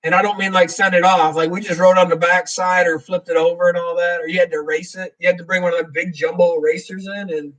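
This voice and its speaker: A man's voice: high voice, flat delivery, fast talker, a bit bright, far from the mic, poor audio. A man hosting a casual live Q&A, rambling through his answers and reading viewer comments aloud. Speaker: livestream host.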